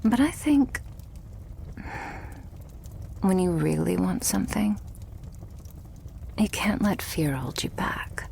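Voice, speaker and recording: Slow, breathy vampire girlfriend, played by a woman. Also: medium pitch, sing-song, slight background noise, full character voice. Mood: tender, reassuring. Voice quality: soft, breathy, often whispered. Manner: slow, tender, coaxing, lightly teasing. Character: loving, doting, stubborn female vampire girlfriend. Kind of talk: ASMR roleplay, intimate monologue to the listener.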